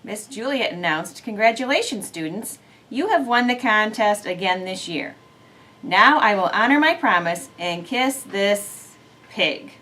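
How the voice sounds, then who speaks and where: A woman's voice: medium pitch, lively intonation, unhurried, bright, forceful tone. A woman on a school staff reading a children's story aloud with expression.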